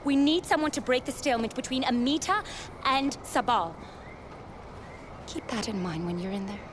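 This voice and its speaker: A woman's voice: on the high side, expressive, normal speed, background noise, close to an everyday voice. A woman playing an earnest, frank and respectful young rebel fighter in a game cutscene. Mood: urging.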